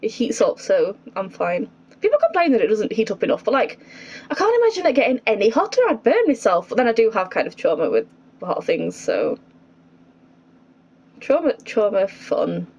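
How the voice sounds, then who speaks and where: A woman's voice: mid-range voice, very animated, moderate pace, a little mellow, breathy edge. A woman doing casual, chatty gameplay commentary, thinking aloud and reacting expressively as she plays. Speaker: gaming streamer. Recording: slightly dull, game music behind. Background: faint game music.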